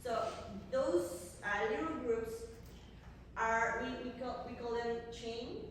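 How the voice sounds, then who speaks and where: A young Costa Rican woman speaks English with a Spanish accent, explaining earnestly and patiently, often pausing on fillers and restarting a phrase. Her voice sits a little high and comes from some way off the mic. It rings through a big echoey hall over steady background noise. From Costa Rica. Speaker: coffee agronomist. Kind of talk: presentation to a visiting group. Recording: echoey hall from far off, noisy.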